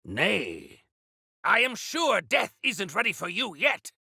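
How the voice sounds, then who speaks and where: Proud old mountain clan chief for a video game, raspy and bright, formal old-timey talk, big swoops in pitch. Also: male voice, wildly swooping pitch, takes it slowly, dry recording, clean studio sound, exaggerated voice. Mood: solemn, measured. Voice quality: gravelly, rough-edged. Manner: theatrical, formal old-fashioned phrasing. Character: proud, stalwart elder male clan leader of mountain folk. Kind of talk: video game character acting.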